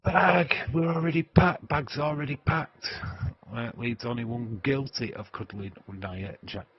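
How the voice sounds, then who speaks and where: A man's voice: mid-range voice, a little slow, husky, lo-fi sound. A man with a Yorkshire accent chatting casually to viewers on a livestream, rambling and laughing often. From England. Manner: rambling, laughs often, many filler sounds. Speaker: livestream host.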